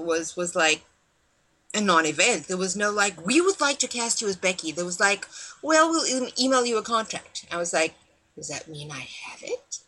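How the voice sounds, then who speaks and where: A woman's voice: on the low side, a little hiss or hum, poor audio. A woman with a South African accent, an actress guest in a casual interview, telling her story and acting out quoted lines. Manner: animated, acts out quoted dialogue.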